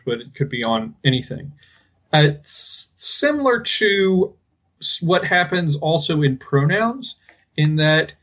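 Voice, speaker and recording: A man co-hosting a podcast, talking casually and haltingly as he explains things. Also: on the high side, a bit bright, muffled recording. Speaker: podcast co-host. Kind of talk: casual linguistics discussion.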